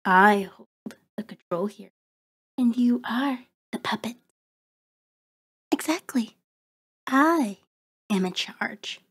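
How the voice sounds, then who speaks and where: Woman playing a slow, soft-spoken seductive vampire. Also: fairly high pitch, lively intonation, exaggerated voice. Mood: commanding, menacing. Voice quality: soft, breathy, often dropping to a whisper. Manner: slow, deliberate, coaxing, controlling. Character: seductive, predatory female vampire, commanding and condescending. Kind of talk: ASMR roleplay.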